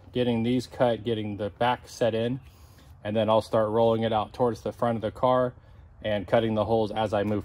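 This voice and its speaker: A middle-aged man with an American accent giving a casual, plain-spoken, step-by-step DIY car repair tutorial. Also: medium pitch. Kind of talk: casual hands-on tutorial narration. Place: outdoors on a patio and driveway, and at a car. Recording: clear background noise, outdoor ambience.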